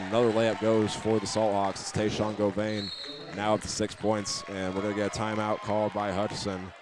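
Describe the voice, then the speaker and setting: A man with an American accent giving live play-by-play radio commentary of a basketball game. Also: noisy background. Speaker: play-by-play announcer. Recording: clear gym ambience and ball bounces. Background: live basketball game in a high school gym.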